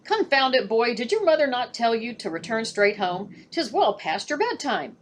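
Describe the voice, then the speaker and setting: A woman's voice: sing-song, normal speed, a little hiss or hum. A woman doing a stern scolding father. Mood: angry. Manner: booming, scolding.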